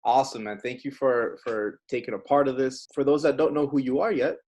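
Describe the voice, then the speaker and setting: A man's voice: mid-range voice, fairly quick, a little mellow. Casual male host, friendly and informal. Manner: friendly and informal, calls guest bro and man. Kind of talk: casual interview chat.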